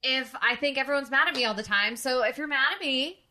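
Bright, animated woman podcast host. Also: high voice, fast. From New Jersey. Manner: animated, enthusiastic, expressive. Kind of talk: casual chat.

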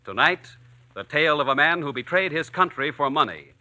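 A male 1940s radio announcer with a polished, emphatic sales delivery. His voice is very bright and a little high, with animated pitch and a dry sound. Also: brisk, no room sound.

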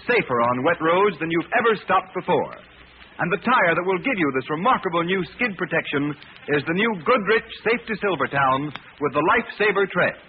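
A man's voice: sits high, slight background noise. A male announcer reading a script emphatically in 1930s radio style, on a tinny old recording. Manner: emphatic, declamatory.

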